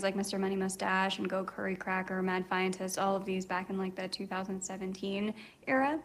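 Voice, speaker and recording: A woman speaking as a podcast guest, talking in a loose, chatty way. She tells stories with a self-deprecating edge, and her pitch sits in an ordinary middle range. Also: fairly bright tone. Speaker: podcast guest, travel expert. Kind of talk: casual interview chat.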